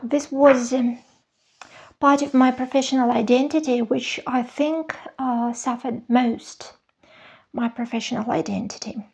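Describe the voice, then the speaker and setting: A woman speaks in a high voice with a gravelly edge. She talks unhurriedly in a casual vlog, choosing each word with care. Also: fairly even intonation, a little mellow. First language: Russian. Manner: slow, careful, searching for words. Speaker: vlogger, former university teacher. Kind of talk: casual show-and-tell vlog.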